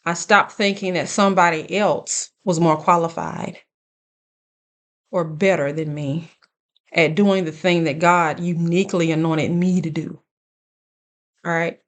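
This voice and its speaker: A woman in her late thirties giving casual, earnest life advice straight to her viewers, speaking deliberately and checking in with them. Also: on the low side, fairly even intonation. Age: late 30s. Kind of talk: casual direct-to-camera advice talk.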